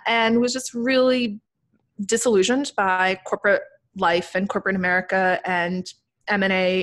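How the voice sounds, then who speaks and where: A woman's voice: medium pitch, fairly bright tone. A woman in her thirties, raised in the Netherlands with Dutch and Turkish as first languages, speaking fluently and articulately as a podcast guest over a video call from a home room. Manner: articulate, structured, quick and fluent. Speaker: guest, crypto investor. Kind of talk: casual podcast interview. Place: home room with brick wall. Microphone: desktop studio mic on video call.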